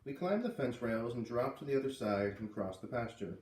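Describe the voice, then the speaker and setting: A man's voice: medium pitch, animated, moderate pace, darkish tone. Man reading aloud, in an echoey room. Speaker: read-aloud storyteller. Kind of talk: expressive read-aloud of a children's novel. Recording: roomy echo, distant from mic.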